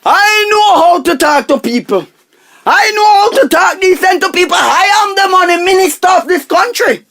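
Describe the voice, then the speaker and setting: A man playing a pompous, penny-pinching, excitable husband and money minister with a Jamaican accent in a comic radio drama, blustering and repeating himself. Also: very high-pitched, normal speed, gravelly, full character voice. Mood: indignant, shouting. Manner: blustering, repetitive, pompous, exclaiming.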